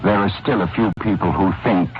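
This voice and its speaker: A man's voice: low-pitched, a little fast. An American man hosting and narrating a scripted 1940s radio mystery, on a muffled, noisy old recording. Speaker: host and narrator.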